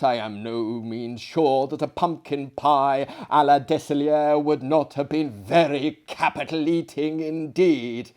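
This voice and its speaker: A man's voice: a little high, darkish tone, natural voice. A man voicing a boisterous, declamatory dinner guest in a storybook, gossiping about eccentrics.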